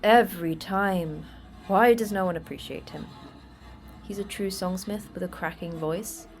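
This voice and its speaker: A woman's voice: medium pitch, lightly acted. Woman acting a wry, terse facility manager, music behind. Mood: deadpan, indignant. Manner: brisk, dry, flippant. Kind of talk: audio fiction podcast acting.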